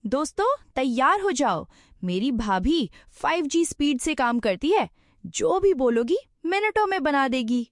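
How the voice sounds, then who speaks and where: A woman's voice: high-pitched, very animated, measured pace, no echo, studio quality. A woman voicing a pushy young college student sister-in-law in a cartoon story. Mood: boastful. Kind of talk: cartoon voice acting.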